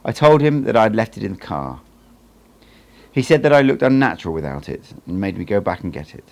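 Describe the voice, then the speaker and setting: A man reading a book aloud as an amateur audiobook narrator, in an expressive storytelling style. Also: lowish voice, brisk, creaky.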